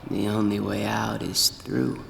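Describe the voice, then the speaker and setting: A man playing a cryptic addict in a horror audio drama, ominous and gravelly. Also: lowish voice, noisy background. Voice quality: gravelly, creaky. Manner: slow, ominous, flat delivery. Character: cryptic, slumped addict lurking in darkness.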